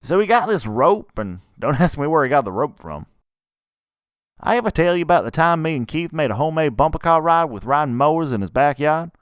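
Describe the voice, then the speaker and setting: A man voicing a folksy young game character with a Southern US accent, telling rambling yarns excitedly. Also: on the high side, brisk, smooth, no echo, clean studio sound, pitched above the performer's own voice. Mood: gleeful. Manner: rambling, chuckly yarn-spinning.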